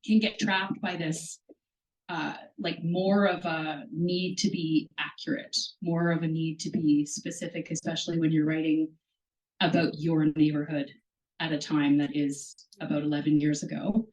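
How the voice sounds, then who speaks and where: A woman moderating a live bookstore reading, loud and chatty, laughs easily, speaking into a handheld mic. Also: deep voice, flat delivery, measured pace, recorded from a distance, low-quality recording. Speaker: event moderator. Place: bookstore event room. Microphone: handheld microphone.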